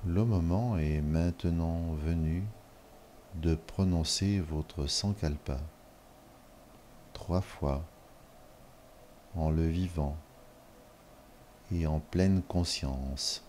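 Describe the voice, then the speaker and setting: A man leading a guided relaxation meditation in short, measured instructional phrases with long pauses between them. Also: sits low, steady tone, mellow, a little hiss or hum. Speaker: yoga nidra guide.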